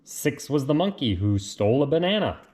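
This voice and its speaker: A man reads a children's storybook aloud in a deliberate, lilting way, with casual asides. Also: mid-range voice, sing-song, a little mellow, slight background noise. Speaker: reader of picture books.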